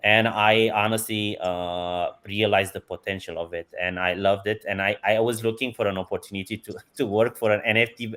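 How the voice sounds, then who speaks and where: Man, 40s, lowish pitch, enthusiastic, chuckles often, interview guest. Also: little pitch movement, normal speed, few pauses, fairly bright tone. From Romania. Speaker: guest, company CEO. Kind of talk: casual interview answers.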